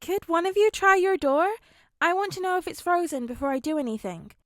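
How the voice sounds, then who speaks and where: A woman's voice: very high-pitched, animated, a little fast, a bit bright, no room sound, clean studio sound, pitched above the performer's own voice. A woman voicing a capable, kind but stubborn teenage boy in a storybook reading. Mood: helpful. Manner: focused, switching from stern to cheery.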